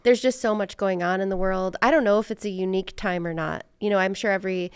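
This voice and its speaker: Clear-voiced woman interviewer with creaky edges. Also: medium pitch, steady tone, fast talker, no echo. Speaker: podcast host, interviewer. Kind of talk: casual reflective interview conversation.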